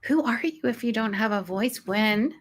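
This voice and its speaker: A woman's voice: on the high side, animated, normal speed. A woman in an interview who talks earnestly as a guest, restarting her words often and sometimes laughing as she goes. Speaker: guest, college professor. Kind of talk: conversational interview.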